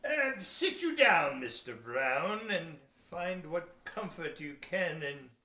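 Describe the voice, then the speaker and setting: Man playing a sly, animated old-time sea captain. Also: on the high side, takes it slowly, exaggerated voice. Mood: feigned sympathy. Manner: archaic seafaring speech, emphatic and insinuating. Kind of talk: amateur audio theater historical drama acting. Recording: echoey room.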